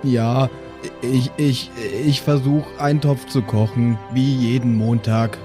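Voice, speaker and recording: Man as dopey dwarf brother, very slow, hesitant, hapless, tabletop game, music clearly behind. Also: medium pitch, flat delivery, lightly acted. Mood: sheepish. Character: slow-witted, hapless dwarf brother who cooks badly. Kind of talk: improvised tabletop role-play.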